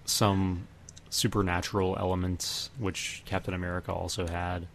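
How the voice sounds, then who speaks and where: A man hosting a podcast, casually discussing films and giving his opinions in a measured, hesitant way. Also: low voice, little pitch movement, creaky, a little hiss or hum. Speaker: podcast host.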